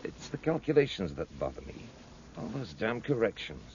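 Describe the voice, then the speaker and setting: Deep, plain-spoken English naval officer. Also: male voice, big pitch swings, normal speed, darkish tone. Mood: unsure. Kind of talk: 1950s radio drama acting. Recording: muffled, noisy 1950s radio recording.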